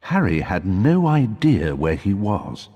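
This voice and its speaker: A man narrates a story in a husky, unhurried voice. His pitch sits on the low side but moves with lively expression, delivered as scripted storybook reading. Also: big-room sound.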